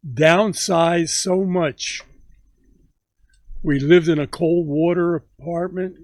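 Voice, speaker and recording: A man in his nineties recalling his childhood for a family interview, speaking slowly with halting pauses. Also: a little high, steady tone, a little mellow, no echo. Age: 90s. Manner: slow, halting recollection with many pauses. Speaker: grandfather telling stories.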